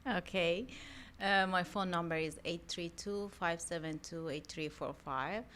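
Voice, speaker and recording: Guest: woman, thick Iranian accent, lowish voice, lively, laughs often, rambles and restarts. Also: measured pace, slight background noise. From Iran. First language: Persian. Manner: animated, laughs often, rambling self-corrections. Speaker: mortgage loan officer guest. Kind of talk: casual podcast chat.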